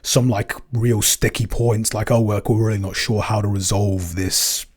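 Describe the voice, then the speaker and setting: A man's voice: fairly low pitch, steady tone, normal speed, breathy edge. A man co-hosting a podcast in casual chat, hesitant, with many "um"s and restarts. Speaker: podcast co-host, producer.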